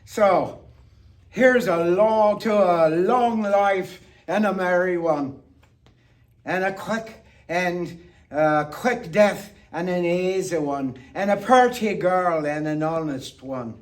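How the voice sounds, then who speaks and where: Male monologue performer, high voice, playful tipsy toastmaster delivery with deliberate pauses, picked up at a distance. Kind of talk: theatrical toast-making monologue. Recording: distant microphone.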